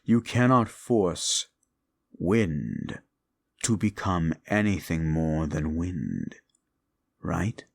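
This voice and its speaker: A man voicing a brooding, bitter fallen demon who lectures the listener in a slow, condescending way in an audio roleplay drama. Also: sits low, lively intonation, gravelly, full character voice. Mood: lecturing.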